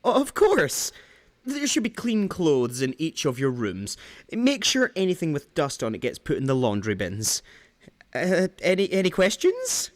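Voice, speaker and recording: A man performs a chipper base guide in an audio drama. He is friendly-awkward and unhurried, very animated in pitch, recorded close and dry. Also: no room sound. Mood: cheerful. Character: chipper, slightly awkward base guide showing newcomers around.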